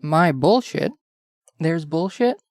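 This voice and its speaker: A man's voice: fairly high pitch, lively intonation, takes it slowly, no echo, clean studio sound, soft background music. A man playing a cocky, flirtatious captive filmmaker who jokes wryly in an audio fiction drama. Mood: mock wounded. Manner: wry, mock-dramatic. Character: cocky, flirtatious captive male filmmaker, joking under interrogation.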